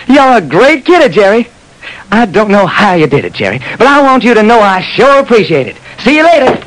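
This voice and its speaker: An actor playing a jovial, cocky police lieutenant in an old radio drama, breezy and teasing, on a noisy recording. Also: male voice, high-pitched, big pitch swings, brisk, a bit bright.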